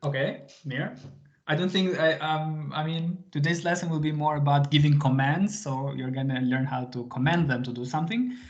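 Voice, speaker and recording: A man's voice: on the high side, steady tone, moderate pace. A man teaching a live online language lesson to a small group, patient and full of small fillers as he switches between English and Albanian. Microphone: video call. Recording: slightly muffled video call audio.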